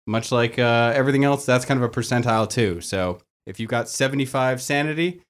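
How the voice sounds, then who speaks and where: A man's voice: medium pitch, brisk. Chatty man explaining game rules. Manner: relaxed, chatty, joking. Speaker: game master.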